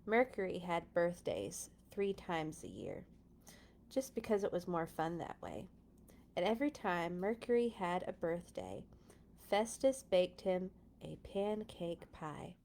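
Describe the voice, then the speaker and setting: A woman's voice: on the low side, expressive, a little slow, creaky, darkish tone, slight background noise. Woman hosting a casual children's storytime. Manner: chatty, informal asides to listeners. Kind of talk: casual read-aloud for children.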